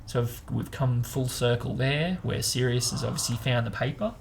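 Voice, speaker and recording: A man with a mid-range, noticeably creaky voice hosts a relaxed book discussion. He explains things informally and wanders into speculation as he goes. There is faint noise in the background. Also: medium pitch, moderate pace. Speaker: host of a book discussion show. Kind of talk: casual chat, book discussion.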